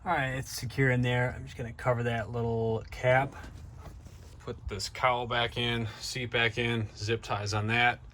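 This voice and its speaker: A man's voice: medium pitch, flat delivery, a little slow, a bit bright, slight background noise. A man giving a casual, informal hands-on narration to viewers, thinking aloud as he works on a vehicle. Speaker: DIY car vlogger and host. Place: in and around a van.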